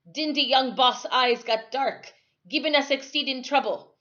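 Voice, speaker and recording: A woman voicing a superstitious old West Indian sailor man in a storybook, grumbling darkly in slow, emphatic dialect. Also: high voice, sharp tone, dull audio, voice pushed up. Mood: ominous, grumbling.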